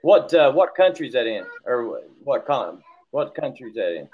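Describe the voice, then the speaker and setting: A man's voice: mid-range voice, big pitch swings, normal speed, husky, phone-quality sound. A man co-hosting a casual video chat in a folksy, joking way. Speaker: co-host of a group video chat.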